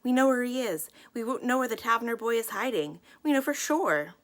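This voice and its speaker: A woman reader acts out a greedy, tattling young girl from a children's story. She is eager and insistent, her voice pitched high and pushed out excitedly. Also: a little fast, lightly acted.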